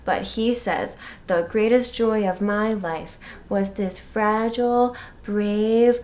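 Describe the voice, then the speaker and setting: A woman's voice: mid-range voice, steady tone, slow, a little mellow. A woman co-hosting a podcast, recapping a book in a jokey, slangy way and acting out lines as she goes. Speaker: podcast co-host. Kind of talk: comedic book recap chat.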